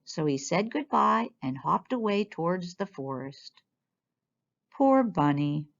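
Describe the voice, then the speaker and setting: A woman storyteller reading a children's picture book aloud in an expressive style. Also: fairly low pitch, slow pace, vocal fry. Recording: dull, lo-fi sound.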